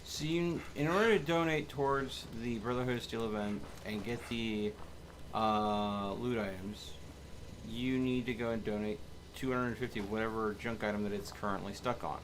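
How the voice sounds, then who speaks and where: A man's voice: fairly low pitch, moderate pace, poor audio. A man giving casual live commentary as he streams a video game. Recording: clear background noise.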